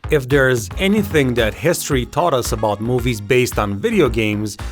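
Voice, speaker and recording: A man presents a video commentary in a casual, sweary, conversational way. His mid-pitched voice moves up and down in a very animated manner. Music plays clearly behind him. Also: normal speed. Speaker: video essay host. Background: background music.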